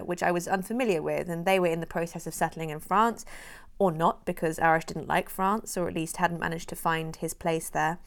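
A woman film director who grew up in Europe, calmly explaining how she made her film in an interview. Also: on the low side. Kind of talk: interview answers.

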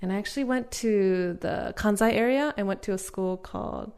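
A woman's voice: medium pitch, normal speed, gravelly, darkish tone, clean tone. A young American woman from North Carolina in her twenties, chatting as a guest on a podcast, upbeat and full of "yeah" and "like". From North Carolina, USA. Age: 20s. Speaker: guest, English teacher. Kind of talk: casual interview chat. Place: podcast studio.

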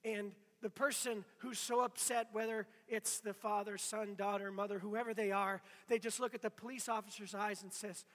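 A hoarse middle-aged man preaching in a loose, conversational way, stumbling and restarting as he goes. His voice sits high and bright for a man. It carries a long reverb from a church hall. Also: fairly even intonation, normal speed, hardly any pauses. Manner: conversational, self-deprecating, many restarts. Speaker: pastor. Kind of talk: casual sermon.

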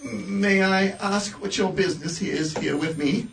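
An actor playing a bitter, miserly old man in a radio play, speaking in a halting, stammering way. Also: high voice, vocal fry, distant mic, soft background music, rough recording. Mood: wondering.

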